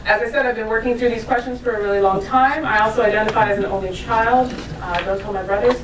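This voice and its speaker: A woman's voice: fairly high pitch, steady tone, fast, far from the mic, noisy background. A woman in her thirties from New York giving a casual, self-deprecating talk to a live audience, with asides and laughs. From New York, USA. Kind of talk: conversational lecture to a live audience. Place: lecture room with audience. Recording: echoey room, voice from afar.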